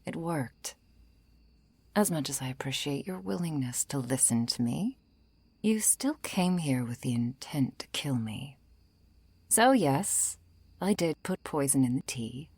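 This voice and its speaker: Female vampire for ASMR roleplay, acted by a woman: slow, coaxing, quietly menacing, breathy, close and dry. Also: lively intonation, no echo, exaggerated voice. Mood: smug, composed. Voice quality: low, breathy, creaky. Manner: slow, coaxing, softly threatening, often whispering. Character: seductive, menacing undead female vampire, calm and hungry.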